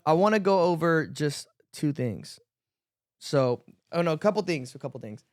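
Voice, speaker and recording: A man's voice: a little high, animated, moderate pace, no room sound, studio quality. A man co-hosting a podcast, talking in a casual, slangy way. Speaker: podcast co-host.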